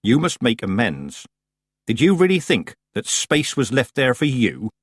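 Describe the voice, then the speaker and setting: A man voicing a stern old woman witch who speaks calmly, coldly and tersely. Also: mid-range voice, a little slow, slightly rough, no room sound, studio quality, pitched above the performer's own voice, heavily acted. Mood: icy calm. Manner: calm, clipped, coldly controlled.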